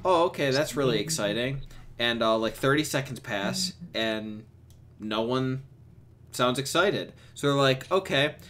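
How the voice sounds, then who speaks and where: A young man chatting and telling stories casually on a voice chat, in an animated, exasperated, sweary way. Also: mid-range voice, measured pace. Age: young adult. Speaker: streamer on a group voice chat. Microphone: computer mic on a voice chat. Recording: noisy voice chat with clicks.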